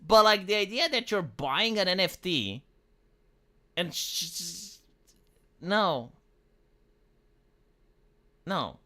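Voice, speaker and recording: A man from Romania rambles through a casual livestream, swearing freely and often restarting his sentences. His voice is bright, and its pitch swings widely as he talks. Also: big pitch swings, slow, long pauses. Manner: rambling, profane, frequent restarts. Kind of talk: casual streaming chat.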